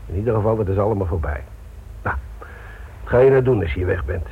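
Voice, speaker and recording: Casting: blunt, exasperated police chief, lowish husky voice, authoritative, tinny radio play recording. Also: male voice, fast, full character voice. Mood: gruff, businesslike. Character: exasperated, scolding male police commissioner, grudgingly indulgent. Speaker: police commissioner. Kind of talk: Dutch radio play acting. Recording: tinny, band-limited radio drama recording.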